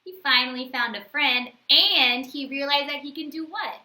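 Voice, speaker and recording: A woman reading a bedtime story aloud to young children, expressively, with teacherly questions and pauses. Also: sits high, sharp tone, echoey room.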